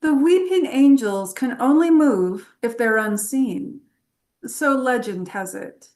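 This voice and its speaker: Woman acting confident female adventurer, knowing, brisk, matter-of-fact, slightly high pitch, drama table read. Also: lively intonation, darkish tone, slight background noise.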